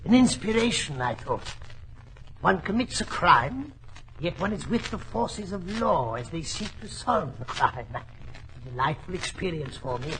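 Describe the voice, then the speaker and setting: Through clear old-recording noise comes a suave master criminal in a 1940s radio play. His voice sits a little high, and he speaks formally, gloating and pleased with himself. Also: big pitch swings, a little slow. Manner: formal, self-satisfied.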